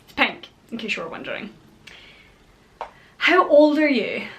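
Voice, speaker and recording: A woman's voice: on the high side, sing-song, a little slow, slight background noise. A Scottish woman in her thirties chatting casually to camera as a vlogger, bubbly and chatty, with playful whispered asides. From Scotland. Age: 30s.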